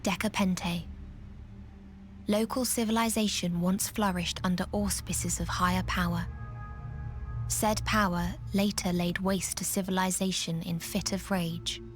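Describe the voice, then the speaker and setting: A woman's voice: lowish voice, fairly even intonation, unhurried, close to an everyday voice. An actress playing an otherworldly female messenger in a game, reciting grim reports in clipped, clinical, impassive phrases. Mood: detached, grim. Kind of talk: video game cutscene acting. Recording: music behind the voice. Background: music.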